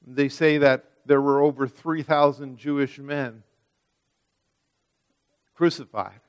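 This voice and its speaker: A man's voice: medium pitch, fairly even intonation, slow. A man preaching a sermon, reading scripture and then explaining it in an earnest manner, often repeating words as he restarts a phrase.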